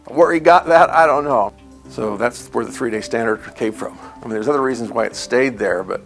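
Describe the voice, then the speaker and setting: A man's voice: medium pitch, brisk, vocal fry, faint music behind. A man recalling past events in a documentary interview, telling anecdotes and quoting remembered conversations.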